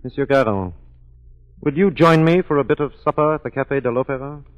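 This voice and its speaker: An actor playing a proud, suave police inspector and rival suitor, formal and dryly superior, in 1940s radio acting style. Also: male voice, mid-range voice, brisk, dull audio, lightly acted. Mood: dryly amiable.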